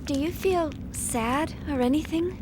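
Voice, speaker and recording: High-voiced, caring young female engineer. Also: measured pace, background noise. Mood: friendly, businesslike. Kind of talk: video game voice acting.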